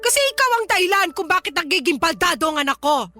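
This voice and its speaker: Shrill-pitched, bright, scornful hostile mother. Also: expressive, quick pace, faint music behind, exaggerated voice. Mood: suspicious, scornful. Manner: scornful, accusing, indignant. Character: hostile, suspicious, old-fashioned mother of a grown son. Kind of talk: Tagalog radio drama acting.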